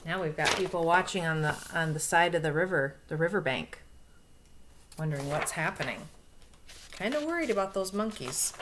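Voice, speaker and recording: A woman's voice: fairly low pitch, lively intonation, normal speed, background noise. A woman reading a picture book aloud to young children, expressively, with explanations and questions along the way. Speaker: storybook reader.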